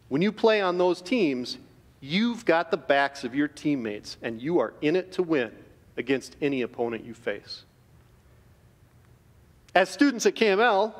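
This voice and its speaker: A middle-aged man giving an earnest, measured chapel devotion to students from a podium in a school auditorium. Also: a little high. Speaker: chapel speaker. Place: large school auditorium stage. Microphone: podium microphone. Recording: reverberant auditorium.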